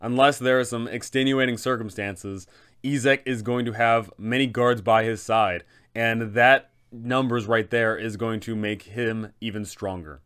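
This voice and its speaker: A man in his thirties hosting a tabletop game guide, telling the story in an emphatic, deliberate way. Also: medium pitch, steady tone, a bit bright, clear, resonant tone. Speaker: host and game master giving advice.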